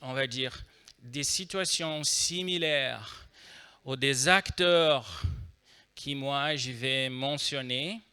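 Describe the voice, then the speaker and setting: Bright slow Brazilian-accented man speaking. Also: mid-range voice, smooth. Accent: Brazilian Portuguese. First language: Portuguese. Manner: joking, hesitant with many fillers. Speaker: conference speaker. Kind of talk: conference talk.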